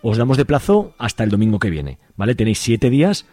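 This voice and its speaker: A man's voice: mid-range voice, very animated, fast talker, warm tone, no room sound. A man with an accent from Spain explaining things to listeners as a radio presenter, colloquial, with frequent tag questions. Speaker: radio show presenter and serial team member.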